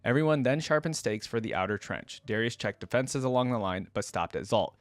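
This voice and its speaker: A man's voice: mid-range voice, lively intonation, a little fast, no echo. A man talking casually as a video creator, moving between chatty reaction commentary and reading a story aloud.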